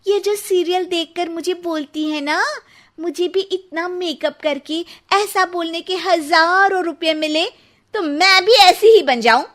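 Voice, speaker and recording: A woman's voice: extremely high pitch, measured pace, fairly bright tone. A woman voicing a quarrelsome young daughter-in-law in a cartoon, taunting and bickering. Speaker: voice actor. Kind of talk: cartoon voice acting.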